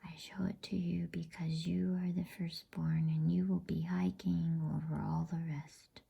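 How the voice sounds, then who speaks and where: A regal male lion of a storybook, played by a woman, speaks in a hushed, husky whisper. He is solemn and calm, with slow, measured words and a creaky edge. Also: on the low side, exaggerated voice. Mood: grave, calm. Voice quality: breathy whisper.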